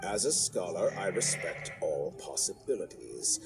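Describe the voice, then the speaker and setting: An actor voicing an arrogant, scheming male scholar villain in a game cutscene, smooth and mocking, sometimes breaking into shouts. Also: deep voice, sing-song, a little slow, exaggerated voice. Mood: smug, calculating. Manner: smooth, mocking, theatrical, with sudden shouting outbursts. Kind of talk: video game cutscene voice acting. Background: game score music.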